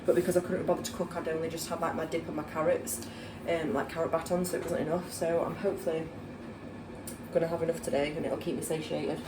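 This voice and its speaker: Young Yorkshire woman, casual rambling vlog chat, slightly low voice, echoey room with noticeable background noise. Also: level tone, fast talker, far from the mic. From Yorkshire, England. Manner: chatty, rambling, with many fillers. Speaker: vlogger. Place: home kitchen, indoors.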